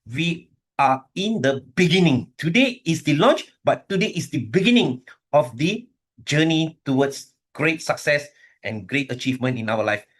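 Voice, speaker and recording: A man's voice: on the high side, animated, moderate pace. Eager man from Malaysia giving remarks. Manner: enthusiastic, repeats himself with fillers. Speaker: community leader giving remarks. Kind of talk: speech at a launch event.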